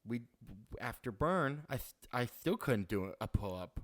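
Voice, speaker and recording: A man's voice: medium pitch, expressive, measured pace. A young man co-hosting a comedy podcast, joking around and reading sponsor copy with a playful put-on lisp. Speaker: podcast co-host. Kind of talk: casual comedic chat.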